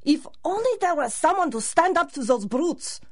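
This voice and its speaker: An actress playing a fretful, excitable older mother in a 1930s-style radio drama. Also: sits high, moderate pace. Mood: alarmed. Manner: fretful, exclaiming.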